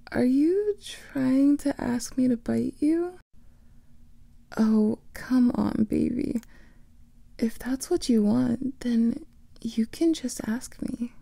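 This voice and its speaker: A young woman voicing a teasing female vampire lover in an ASMR roleplay, whispering softly close to the microphone. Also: a little high, extremely slow, pauses often, no echo, heavily acted. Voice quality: breathy whisper with vocal fry.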